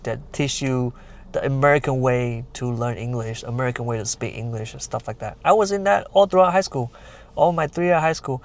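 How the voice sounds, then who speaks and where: A man's voice: medium pitch, gravelly. Casual Malaysian-born man vlogging. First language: Chinese dialects. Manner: plain conversational, uses lots of "like" and "you know", nonnative grammar. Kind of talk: casual vlog talk to camera.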